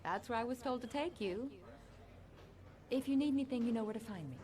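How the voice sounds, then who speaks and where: A woman acting a brisk, helpful police office worker in a video game, courteous and matter-of-fact. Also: a little high, animated, warm tone, slight background noise, lightly acted. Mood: businesslike. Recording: game scene with busy crowd behind.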